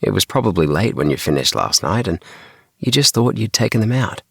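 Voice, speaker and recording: Guy doing a nosy cheeky schoolgirl in a kids audiobook, lowish voice, always asking stuff. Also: sing-song, brisk, vocal fry, breathy edge, dry recording, studio quality, exaggerated voice. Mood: curious. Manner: questioning, probing. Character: inquisitive, cheeky schoolgirl friend, Priya. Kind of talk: children's audiobook character dialogue.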